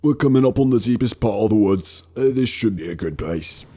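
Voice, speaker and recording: A man's voice: on the low side, lively intonation, a little fast, mellow. A man playing a confident, scheming guard turned kidnapper in a radio drama, bossy and dismissive.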